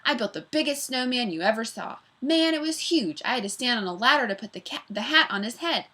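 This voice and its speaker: A woman reading aloud as a boastful young schoolboy who rambles excitedly. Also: on the high side, a little fast, pitched above the performer's own voice.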